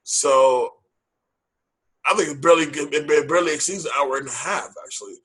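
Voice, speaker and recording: A man co-hosting a casual movie podcast, with a bright, forward voice and a breathy, husky edge. He talks loosely and with hype, repeating phrases and dropping slang. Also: a little high, moderate pace, poor audio. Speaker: podcast co-host. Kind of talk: casual movie-review chat.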